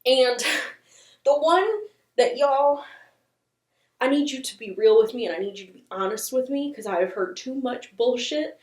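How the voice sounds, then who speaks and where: A woman's voice: high-pitched, measured pace, long pauses, echoey room. A woman hosting a casual video commentary, chatty, opinionated and playfully dramatic in manner. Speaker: host of a channel on internet horror.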